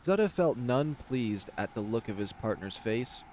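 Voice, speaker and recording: A man's voice: mid-range voice, very animated, measured pace, darkish tone, a little hiss or hum. A man reading scripted fantasy story narration as the narrator of a visual novel.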